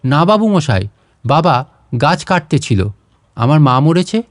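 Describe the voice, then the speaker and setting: A man's voice: on the high side, sing-song, unhurried, no room sound, clean studio sound. A man voicing a grieving, timid village boy in a storybook reading, meek and pleading. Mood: tearful pleading.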